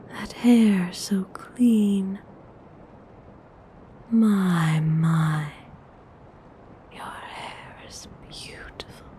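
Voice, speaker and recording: Woman playing a breathy, slow-speaking vampire noblewoman. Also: on the low side, exaggerated voice. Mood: tender, admiring. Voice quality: soft, breathy, mostly whispered. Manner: genteel, unhurried, formal wording. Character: courteous, commanding vampire noblewoman, doting on her human. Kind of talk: ASMR roleplay.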